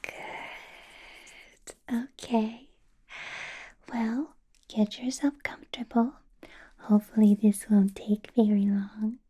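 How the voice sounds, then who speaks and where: A woman's voice: mid-range voice, steady tone, takes it slowly, long pauses, mellow, slightly rough. A woman whispering as a centuries-old female vampire boss, teasing and possessive, in an ASMR roleplay. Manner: whispered throughout, teasing, menacing, playful laughs.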